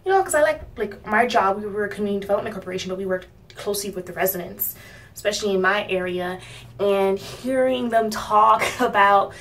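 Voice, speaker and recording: A woman's voice: mid-range voice, a little fast. A young woman from Miami chats to her viewers in a loose, rambling way, full of ums and little laughs. Her voice sits in a middle range and sounds a little distant from the microphone. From Miami, United States. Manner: chatty, rambling, with frequent fillers and soft laughs. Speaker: vlogger. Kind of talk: casual talk to camera.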